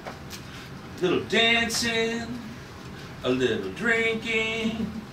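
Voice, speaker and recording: High-pitched leering sidekick guy, done by a man. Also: sing-song, extremely slow, exaggerated voice. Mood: lecherous glee. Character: leering, hedonistic sidekick man eager for fun. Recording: noisy echoey room, distant mic.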